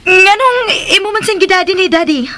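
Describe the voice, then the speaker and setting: A woman's voice: very high voice, brisk, sharp tone, slight background noise. A woman acting a spoiled rich young daughter in a Filipino radio drama, exclamatory, with a Filipino accent. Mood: indignant. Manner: exclamatory, drops in English phrases.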